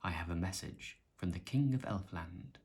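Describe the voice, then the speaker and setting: A man with a deep voice voicing a sly, proud fox in a storybook reading, with a measured, dry delivery. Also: a little mellow, slightly rough. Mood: guarded.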